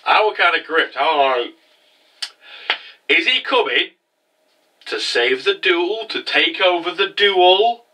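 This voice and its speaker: A man's voice: sits high, expressive, slow pace, pauses often, pushed and bright, recorded from a distance, slight background noise, low-quality recording. A young man with a cold, hosting a profane, rambling casual review show. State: congested from a cold. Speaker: anime review host. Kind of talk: casual recap commentary.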